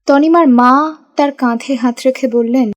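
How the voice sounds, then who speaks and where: A woman reads scripted narration for an audio story. Her voice sits a little high, with light music playing behind her. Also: measured pace.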